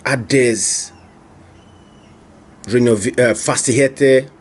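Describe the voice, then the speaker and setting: A man's voice: medium pitch, drawn-out words. A man interviewing a business owner, asking questions and often repeating them. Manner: probing, repeats his questions. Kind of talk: on-camera interview.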